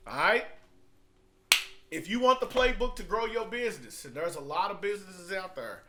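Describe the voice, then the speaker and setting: A man's voice: sits high, expressive, moderate pace, pushed and bright, slight background noise. A man talking in a casual vlog review style, conversational and emphatic, repeating phrases to drive points home. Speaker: product reviewer and online marketer.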